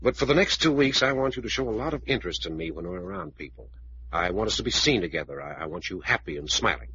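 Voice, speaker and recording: A man playing a commanding, cool-headed aviator adventurer with an American accent, giving brisk, clipped orders in a vintage radio mystery serial. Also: medium pitch, big pitch swings, gravelly, sharp tone, full character voice. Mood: persuasive, firm. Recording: dull, faintly noisy old radio recording.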